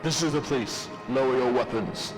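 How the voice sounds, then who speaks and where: A man's voice: medium pitch, normal speed, over music, close to an everyday voice. A man doing a distant, echoing police officer. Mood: commanding. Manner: commanding, formal. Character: firm male police officer outside. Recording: distant voice with long reverb.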